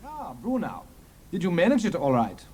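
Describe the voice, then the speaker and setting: An actor playing a suspicious, probing German intelligence officer in an audio drama, speaking in a husky, quiet, insinuating way. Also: male voice, high-pitched, huge pitch swings, normal speed, full character voice.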